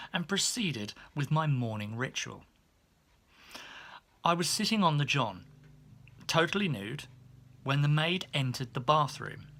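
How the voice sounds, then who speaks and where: A man reading a humorous first-person memoir as an audiobook, in an expressive storytelling manner. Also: mid-range voice. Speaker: audiobook narrator.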